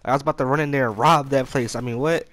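A young adult American man speaks as a casual gaming YouTuber telling a story. His delivery rambles along in loose slang, with an exasperated edge, at an ordinary middle pitch. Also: fairly even intonation, quick pace, a bit bright, dry recording. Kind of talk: casual storytime chat over gameplay.

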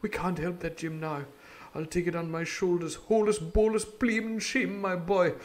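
A man voicing a stern but kindly older ship's doctor in a storybook reading, scolding in an old-fashioned gentlemanly manner. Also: fairly high pitch, a little slow, voice pushed up, close to an everyday voice. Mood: urgent.

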